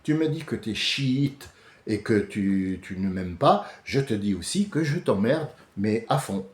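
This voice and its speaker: Animated low-voiced man in an echoey room. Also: measured pace. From France. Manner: animated, rising to heated outbursts; many restarts and hesitations. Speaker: host. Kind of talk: casual monologue on religion.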